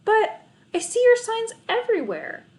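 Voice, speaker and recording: A woman's voice: very high-pitched, big pitch swings, moderate pace, darkish tone, voice pushed up. A cheeky young brother in a children's storybook, voiced by a woman reader. He talks in a wry, casual way with a sheepish edge. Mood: needling.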